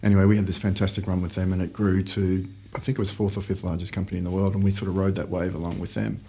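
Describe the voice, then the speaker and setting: Middle-aged man, rapid delivery and easygoing, a founder telling his story on a podcast. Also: low voice, gravelly, breathy edge. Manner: relaxed storytelling with frequent restarts. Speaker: guest, company founder. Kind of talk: conversational interview answers. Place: office in a shared workspace.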